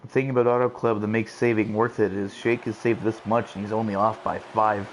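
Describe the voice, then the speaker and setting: A man giving casual commentary on a sim race, excitable and lively as he calls the action. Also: on the low side, fairly quick, slight background noise, rough recording.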